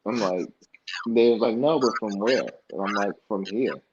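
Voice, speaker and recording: A man's voice: lowish voice, moderate pace, a little hiss or hum. A young man from the United States, a podcast guest, telling stories casually and acting out past conversations in colloquial speech. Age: young adult. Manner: animated, acts out past dialogue in colloquial speech. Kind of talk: casual interview storytelling. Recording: dull lo-fi podcast audio.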